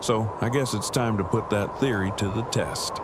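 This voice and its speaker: An actor playing an earnest, wordy survivor who speaks in stiff, over-precise formal language in an audio drama. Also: male voice, fairly low pitch, moderate pace, lightly acted. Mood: resolute. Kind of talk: fan audio drama acting. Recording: loud outdoor storm noise.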